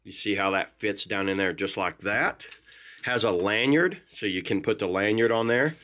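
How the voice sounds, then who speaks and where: A man's voice: on the low side, normal speed, a bit bright. Middle-aged man, casual gear reviewer. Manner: informal, folksy phrasing with "y'all" and "gonna". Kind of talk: casual unboxing and product review. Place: indoors at a desk.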